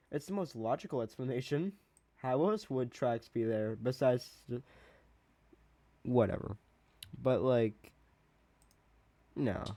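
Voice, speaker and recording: A man giving casual, rambling reaction commentary as a video host, often halting and repeating himself. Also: medium pitch, mellow, no echo.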